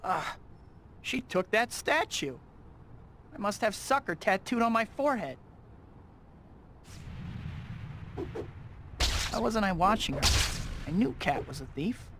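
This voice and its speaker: A man's voice: high-pitched, lively intonation, moderate pace, a bit bright, a little hiss or hum. A young man playing an earnest, slightly awkward, wisecracking superhero in a video game, speaking casually. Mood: exasperated. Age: young adult.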